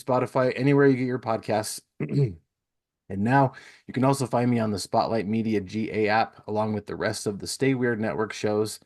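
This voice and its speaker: A man's voice: medium pitch, a little mellow. A man hosting a podcast, chatting casually and giving plugs in an informal, enthusiastic way. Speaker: podcast host.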